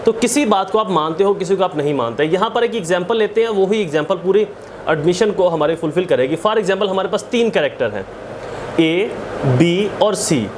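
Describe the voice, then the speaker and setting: A man's voice: high voice, animated, normal speed. A man with a Pakistani accent giving an explanatory law lecture, emphatically repeating and rephrasing his key points. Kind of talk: explanatory lecture with English legal terms. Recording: clearly noisy background.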